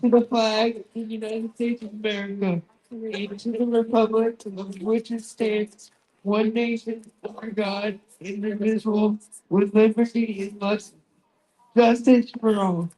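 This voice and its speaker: A woman's voice: mid-range voice, level tone, measured pace, warm tone, slight background noise, rough recording. A woman reciting a pledge in a ceremonial style.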